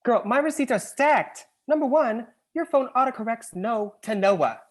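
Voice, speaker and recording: A man's voice: very high voice, animated, moderate pace. A man playing a sassy, blunt, teasing best friend in a screenplay table read. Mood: teasing, insistent.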